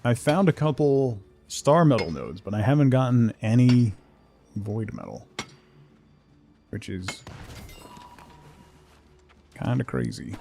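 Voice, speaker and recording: A man's voice: mid-range voice, very animated, slow pace, gravelly, long pauses, dark tone, soft background music. A man giving casual solo commentary as a game streamer, musing and thinking aloud to himself.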